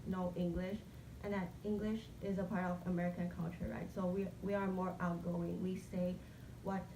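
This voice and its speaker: Young woman, casual, chatty, fillers, echoey, noisy. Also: medium pitch. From Thailand. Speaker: interviewee. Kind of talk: casual interview answers. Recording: echoey room, background noise.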